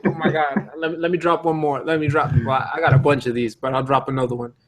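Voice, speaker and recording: A man chatting casually as a podcast co-host, excitable and often repeating his phrases. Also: fairly high pitch, quick pace. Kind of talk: casual comedic group chat.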